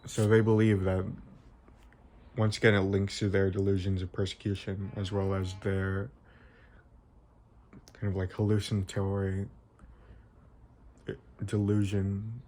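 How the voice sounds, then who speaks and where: A young man giving an informal explanatory video essay, with halting, tentative phrasing and frequent self-corrections. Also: sits low, little pitch movement, darkish tone. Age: young adult.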